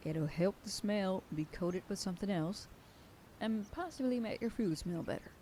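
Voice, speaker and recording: A woman's voice: medium pitch, animated, normal speed, warm tone, a little hiss or hum. A woman voicing a protective werewolf sheriff girlfriend in an ASMR roleplay, scolding and bossy. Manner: scolding, bossy then whispering tenderly. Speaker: voice actor.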